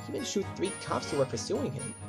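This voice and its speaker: An earnest ghost witness in an audio drama, acted by a man, gossiping through testimony in a very lively voice. Music plays clearly behind. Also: sits high, fast, exaggerated voice. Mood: earnest, dramatic.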